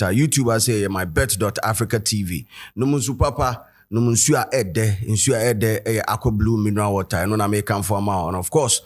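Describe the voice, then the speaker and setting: A man with a Ghanaian accent hosting a casual editorial show, talking loosely with many fillers and switching between English and Twi. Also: on the low side. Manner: chatty, loose, full of fillers and code-switching. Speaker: host and commentator.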